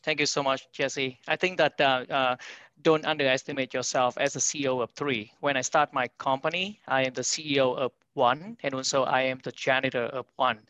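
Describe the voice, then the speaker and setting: A man's voice: medium pitch, steady tone, fairly quick, sharp tone, smooth, no room sound, lo-fi sound. Man from Vietnam, English, frequent fillers, founder in a podcast interview. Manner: frequent fillers, non-native grammar. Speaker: company founder and CEO.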